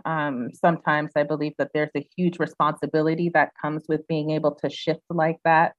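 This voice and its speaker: A woman's voice: sits low, level tone, normal speed, no room sound, highs cut off. A young woman raised in the United States, speaking reflectively as a guest in a casual interview, with many "um"s and "you know"s.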